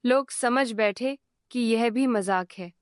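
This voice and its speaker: A woman tells a children's cartoon story in a slow, deliberate voice-over. Her voice sits a little high, and the recording is dry, with no room sound. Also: dry recording. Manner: calm, measured. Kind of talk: scripted storybook narration.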